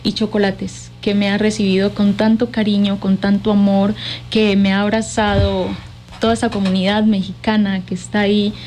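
A woman's voice: mid-range voice, little pitch movement, normal speed, a little mellow, slight background noise. A woman with a Colombian accent speaking effusively and affectionately as a guest in a conversational interview. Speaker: interview guest, cultural manager.

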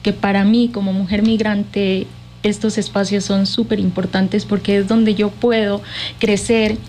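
A woman's voice: mid-range voice, flat delivery, a little slow, warm tone, a little hiss or hum. A woman with a Colombian accent speaking effusively and affectionately as a guest in a conversational interview. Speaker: interview guest, cultural manager.

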